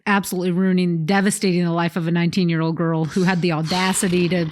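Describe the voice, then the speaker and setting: A woman co-hosting a comedy podcast in casual chat, laughing often and tossing in quick wry comebacks. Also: on the low side, little pitch movement, slight background noise. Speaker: podcast co-host.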